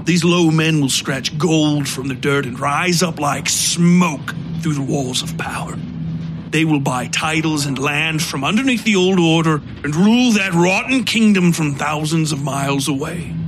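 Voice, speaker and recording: A man voicing a bitter, drunken aristocrat who lectures and rants with a sneer, sometimes breaking into shouts. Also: a little high, lightly acted. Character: drunk, bitter, ranting male aristocrat theorist. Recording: music bed underneath.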